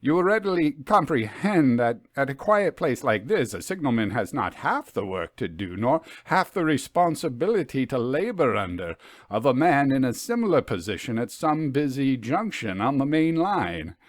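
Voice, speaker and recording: A man voicing a genial gentleman in an old story, with keen, confiding, old-fashioned speech. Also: medium pitch, sing-song, normal speed, no echo, clean studio sound, pitched above the performer's own voice, close to an everyday voice. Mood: grave, explanatory.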